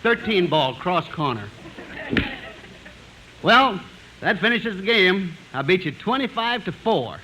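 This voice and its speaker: An actor playing a cocky, easygoing musician and pool shark in a 1950s radio comedy. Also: male voice, high voice, big pitch swings, slow, pauses often, exaggerated voice. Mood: cocky, matter-of-fact. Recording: noisy 1950s radio recording.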